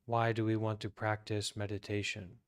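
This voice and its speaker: An American man speaks as a meditation teacher in a smooth, even voice that stays within a narrow range. He is calm and deliberate, leaving long pauses between short phrases, and the sound is dry and close. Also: fairly low pitch, no echo. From United States. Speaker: Buddhist monk leading guided meditation. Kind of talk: guided meditation talk.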